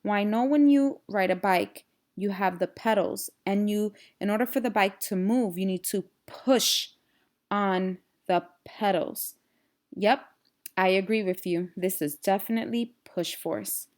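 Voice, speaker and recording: Slow-paced woman teacher reading to children. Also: medium pitch. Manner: expressive, instructional, addresses young listeners directly. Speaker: teacher reading aloud to pupils. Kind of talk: children's storybook read-aloud with simple questions.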